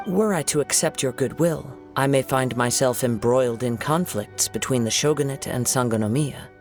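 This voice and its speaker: A man plays a young wandering swordsman in a video game. The voice is mid-pitched with a clear creaky grain, and the delivery is gentle, measured and contemplative, with formal phrasing over faint music. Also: close to an everyday voice. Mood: polite, resolute.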